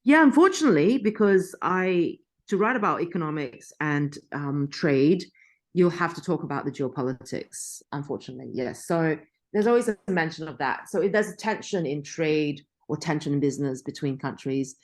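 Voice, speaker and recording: Middle-aged woman, journalist guest, slightly low voice, chatty and self-correcting. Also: expressive, normal speed. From Australia. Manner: chatty, frequent restarts and laughter. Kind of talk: interview conversation.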